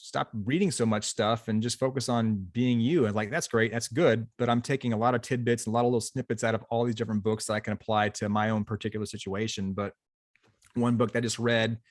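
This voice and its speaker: A man talking casually as a guest, with a loud, boisterous voice and an earnest, rambling way of speaking. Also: lowish voice, fairly even intonation, quick pace, dry recording, clean studio sound. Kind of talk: casual conversation.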